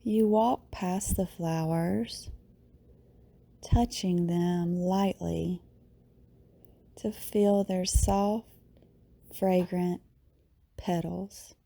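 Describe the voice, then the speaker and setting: A woman leading a guided meditation in a soothing, unhurried way, with long pauses and phrases that tend to trail off softly. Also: on the low side, fairly even intonation, vocal fry. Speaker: yoga teacher, meditation guide.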